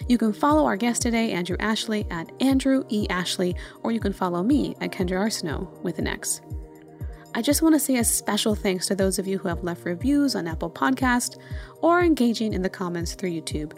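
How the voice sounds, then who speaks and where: A woman's voice: medium pitch, lively intonation, fairly quick, faint music behind. A woman hosting a podcast, speaking in an encouraging, appreciative way to guest and listeners. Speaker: podcast host. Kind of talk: podcast interview and outro.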